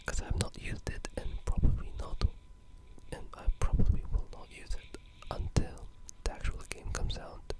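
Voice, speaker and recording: A person speaking in a breathy, unvoiced whisper, casually discussing games in an ASMR style with a hushed, halting delivery. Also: low-quality recording. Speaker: ASMR gaming video creator.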